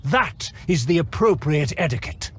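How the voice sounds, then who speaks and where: A man's voice: on the high side, very animated, moderate pace, slightly rough, exaggerated voice. A man voicing a haughty, proud nobleman in a game cutscene, speaking in a pompous, scornful way. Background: music.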